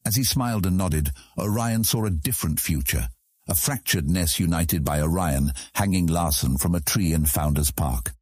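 Deep, husky male storyteller. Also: moderate pace, darkish tone, dry recording, clean studio sound. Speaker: audiobook narrator. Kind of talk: scripted fantasy narration.

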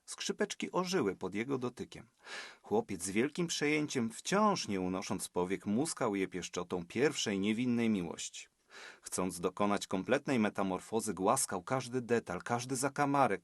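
A man from Poland, a teacher and author, reading a storybook aloud with expressive narration in a recording studio. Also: fairly low pitch, no echo. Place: small school recording studio.

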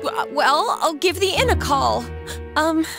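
An excitable American teenage schoolgirl in an anime-style game. Her voice is very high and lively, blunt and expressive, taking its time over the lines. Music plays behind it. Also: a little slow, vocal fry, exaggerated voice. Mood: worried. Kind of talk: English anime-style video game dubbing. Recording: game music and background crowd.

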